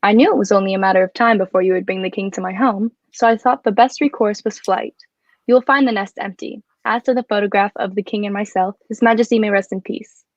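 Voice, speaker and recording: A woman's voice: medium pitch, steady tone, fast, dry recording, dull audio, lightly acted. A woman acting a clever, bold, flirtatious adventuress in a radio drama, with a poised, formal and coy way of speaking. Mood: poised, triumphant. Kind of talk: adaptation of a 1940s radio drama.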